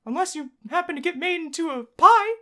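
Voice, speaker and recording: A woman voicing a gentle, motherly old goat monster lady in a video game, doting, formal and a little fussy. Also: extremely high pitch, lively intonation, brisk, voice pushed up, full character voice. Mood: soothing.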